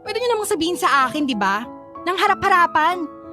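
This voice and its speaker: High-pitched, anxious Filipino student girl. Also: very animated, fairly quick, music in the background. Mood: hurt, pleading. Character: anxious, indecisive teenage girl student, hurt by friends. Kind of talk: Filipino radio drama acting.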